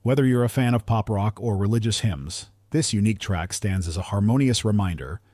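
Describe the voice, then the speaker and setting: A man's voice: on the low side, brisk, over music. A man narrating a scripted countdown in a polished, earnest delivery, as the narrator.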